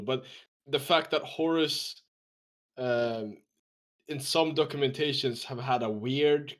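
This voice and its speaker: A man from Sweden with a Swedish accent, hosting a casual roundtable podcast and talking with hesitant fillers. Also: mid-range voice.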